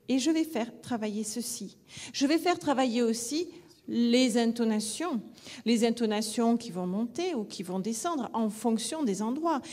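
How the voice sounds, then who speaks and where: A woman lecturing on phonetics at a conference, her voice sitting a little high. She explains step by step and sounds out rhythms in syllables to make her point. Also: a little mellow. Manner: demonstrative, sings rhythm syllables to illustrate; explanatory, restarts phrases. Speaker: phonetics trainer and school director. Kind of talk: conference talk.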